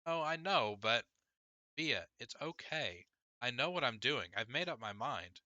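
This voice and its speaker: A man's voice: mid-range voice, expressive, measured pace, bright, forceful tone, no echo, lo-fi sound. A man reading an audiobook as a stubborn, sullen little brother of school age, curt and defiant. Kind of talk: audiobook character dialogue.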